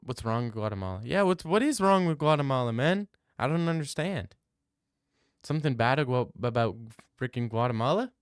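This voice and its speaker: A man's voice: medium pitch, lively intonation, normal speed, clear, resonant tone, no room sound, studio quality. A young man live-streaming from home on a desk mic, bantering loosely with his chat and swearing freely. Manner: loose, profane banter, reads chat aloud and riffs.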